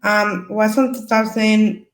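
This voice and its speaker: A young woman from El Salvador, a Spanish speaker with a Salvadoran accent, telling her own story on a discussion panel in halting English as she searches for words. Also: medium pitch, little pitch movement, creaky, roomy sound. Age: young adult.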